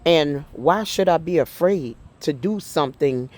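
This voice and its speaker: A middle-aged woman vlogging in an earnest, heartfelt way, with halting speech full of fillers and restarts. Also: deep voice, expressive, a little mellow. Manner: heartfelt and faith-driven. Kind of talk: casual personal talk to camera.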